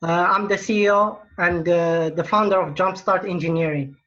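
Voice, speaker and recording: A young man from Morocco, giving an earnest startup pitch over a video call and often restarting his phrases. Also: steady tone, moderate pace, fairly bright tone. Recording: muffled video-call audio.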